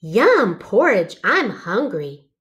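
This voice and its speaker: A woman's voice: mid-range voice, big pitch swings, slow pace, fairly bright tone, lo-fi sound. A woman voicing a hungry, picky, curious little girl in a children's storybook, in short exclamations. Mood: picky exclaiming.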